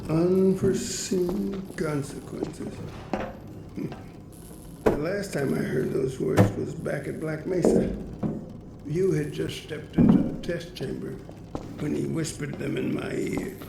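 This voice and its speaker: A slow, gravelly, weary older father. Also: on the high side, heavy background noise, heavily acted. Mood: haunted, regretful. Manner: halting, confiding, weighty. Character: weary, troubled older scientist father, gentle but bitter. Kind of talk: dramatic video game acting. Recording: echoey, distant, under loud game music.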